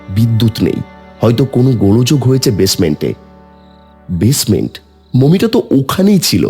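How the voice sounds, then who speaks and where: Man, horror story narrator, lower pitch, highly varied intonation, dramatic, suspenseful, faint background music. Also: darkish tone, breathy edge. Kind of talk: dramatic first-person scripted narration.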